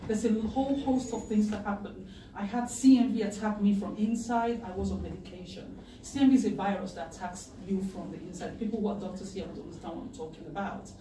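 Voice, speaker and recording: A middle-aged woman giving a heartfelt personal testimony at a lectern, earnest and emotional, pausing to gather herself. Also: mid-range voice, steady tone, mellow, slight background noise. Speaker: patient campaigner giving a speech. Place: wood-panelled meeting room, indoors, at a lectern. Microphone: far camera in the audience. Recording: echoey room from far off, faint ambience.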